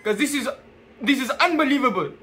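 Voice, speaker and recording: A man in his twenties making a prank phone call with feigned seriousness, halting and addressing the listener as sir. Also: very high voice, lively intonation, pushed and bright. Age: 20s. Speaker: prank caller. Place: small plain room indoors.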